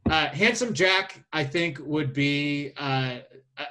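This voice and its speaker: Halting, higher-voiced man chatting on a podcast. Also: sharp tone. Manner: hesitant, halting, thinks aloud. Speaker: podcast host. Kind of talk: casual chat, presenting a list of casting picks.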